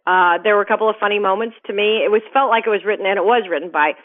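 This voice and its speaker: Woman film critic on a phone line; brisk, enthusiastic delivery, lo-fi phone audio. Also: medium pitch. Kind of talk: phone-in movie reviews.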